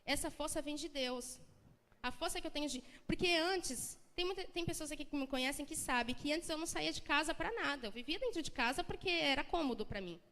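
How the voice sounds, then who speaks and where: A woman's voice: sits high, fairly quick, a bit bright. A young Brazilian woman from the São Paulo coast with a local accent, giving an earnest, emotional personal testimony in church, talking conversationally with many restarts. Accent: Brazilian Portuguese, São Paulo coast. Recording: long church hall reverb.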